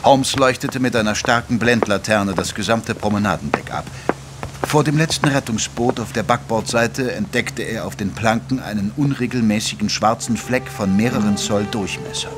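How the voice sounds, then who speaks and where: An actor playing an earnest gentleman doctor who narrates a German audio drama in a measured, literary way. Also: fairly low pitch, music in the background, close to an everyday voice. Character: earnest gentleman doctor narrating his detective friend's case.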